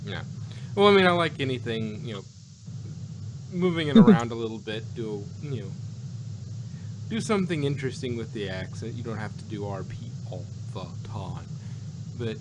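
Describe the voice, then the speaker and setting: A man co-hosting a casual talk show, speaking in a halting, rambling way. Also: mid-range voice. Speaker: podcast co-host. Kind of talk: casual chat. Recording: clearly noisy, steady hum.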